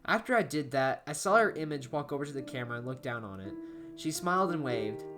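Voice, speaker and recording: A woman narrates a horror story in an amateur, dramatic style. Her voice is low with very lively intonation, and soft music runs underneath. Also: fast talker.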